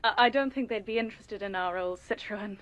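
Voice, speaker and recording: An actress playing a guarded, hesitant housewife answering a cold call in a radio drama. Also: female voice, medium pitch, fairly quick, fairly bright tone, no room sound, natural voice. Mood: wary. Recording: dull, dry telephone sound.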